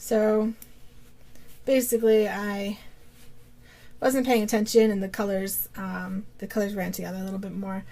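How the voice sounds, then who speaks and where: A woman's voice: mid-range voice, level tone, normal speed, long pauses, warm tone. A woman with a cold, chatting casually as she hosts a yarn-dyeing video podcast, rambling with many fillers and restarts. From Vermont, USA. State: has a cold. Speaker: yarn dyer and podcast host.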